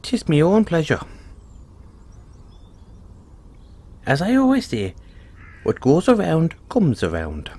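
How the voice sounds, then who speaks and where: A man's voice: a little high, huge pitch swings, normal speed, dark tone, exaggerated voice. A man voicing a kindly, playful leprechaun in an audio roleplay, folksy and reassuring. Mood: warm, encouraging. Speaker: roleplay performer. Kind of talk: audio roleplay, speaking softly to one listener.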